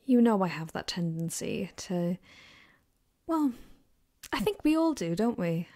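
Woman acting a slow, soft ASMR girlfriend. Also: mid-range voice, big pitch swings. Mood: tender, reassuring. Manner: soft-spoken, hesitant, rambling. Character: gentle caring girlfriend, comforting her partner. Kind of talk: ASMR roleplay, soft and partly whispered. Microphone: close binaural microphone.

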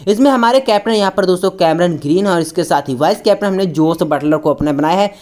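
Casting: female sports preview presenter, very quick delivery, slightly low pitch, scripted and formulaic. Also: a little mellow. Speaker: cricket match preview presenter. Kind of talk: scripted sports preview narration for online video.

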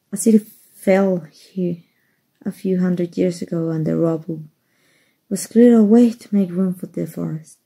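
A woman plays a kind, folksy rebel rescuer in an ASMR roleplay. The voice is very breathy and husky, dark and soft, with a creaky edge. The talk is hushed and coaxing. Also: fairly low pitch, steady tone, measured pace, long pauses, full character voice, poor audio. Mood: guarded, friendly. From Mexico. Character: kind human rescuer, gruff-talking, reassuring undercover rebel.

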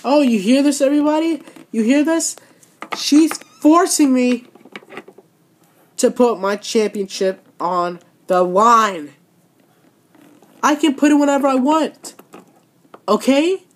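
A woman's voice: animated, takes it slowly, long pauses, heavily acted. A woman voicing a bratty female wrestling champion who whines and shrieks in tantrums. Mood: indignant. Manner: whiny, shrill, throws tantrums.

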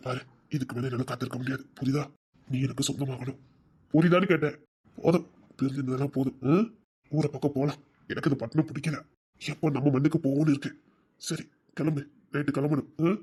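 A performer playing a possessive but tender young man in an audio romance drama, speaking softly and coaxingly. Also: medium pitch, quick pace, husky, close to an everyday voice. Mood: pleading, insistent. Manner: soft, hushed, coaxing.

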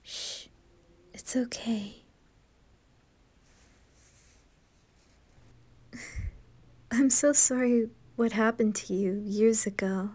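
Kind young anime boy, female performer: high voice, slow soft delivery, reassuring and sincere. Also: steady tone. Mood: tender, reassuring. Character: gentle, kind-hearted, fearless young boy adventurer. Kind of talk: anime fan roleplay ASMR.

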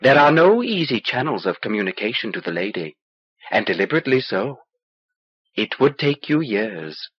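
A small, knowing alien sorcerer played by a male narrator speaks in dry, cryptic, measured lines. The voice is husky and moves freely in pitch. It comes from an audiobook on a dull old cassette. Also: very animated, no echo. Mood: dry, discouraging. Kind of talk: audiobook character dialogue.

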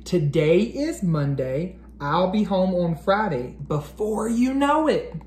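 Storybook mother rabbit, read by a man, American, gentle, reassuring, loving, roomy sound with background noise. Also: high voice, lively intonation, slow. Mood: gently reassuring.

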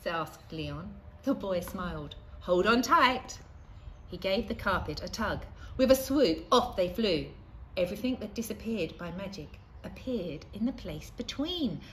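A middle-aged woman reading a children's storybook aloud expressively, with deliberate, dramatic pauses. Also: mid-range voice, expressive, poor audio. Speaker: primary school staff member reading aloud. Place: outdoors in a leafy school playground. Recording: faint outdoor ambient noise.

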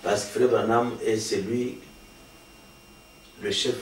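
Un pasteur d'âge mûr, originaire du Congo, qui explique la doctrine avec insistance et sérieux. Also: male voice, fairly low pitch, fairly even intonation, slow. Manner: earnest, explanatory, emphatic. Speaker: pastor, guest. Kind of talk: sermon-like interview answers. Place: small studio with patterned wallpaper. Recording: roomy, distant, faint hiss.

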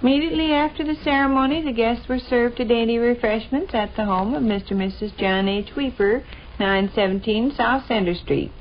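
A woman's voice: fairly high pitch, fairly quick, natural voice. An American woman playing a teasing, practical housewife and mother in a folksy, chatty 1940s radio comedy style. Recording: dull, noisy 1940s radio recording.

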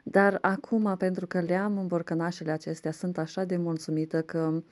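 A woman's voice: lowish voice, little pitch movement, a little mellow. A young woman chatting in a casual voice-over about cleaning and organizing her kitchen, rambling with fillers and restarts. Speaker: home vlogger.

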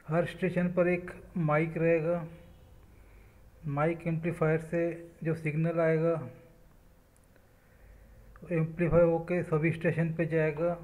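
Guy with a higher voice teaching electronics step by step, super monotone, short phrases. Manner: speaks in short measured phrases, listing parts. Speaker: electronics instructor. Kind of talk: step-by-step technical explanation.

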